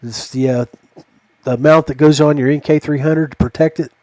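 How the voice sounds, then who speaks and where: A middle-aged American man hosting a casual podcast chat in a halting, folksy, familiar way. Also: on the high side, fairly even intonation, a little mellow, no echo. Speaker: podcast host.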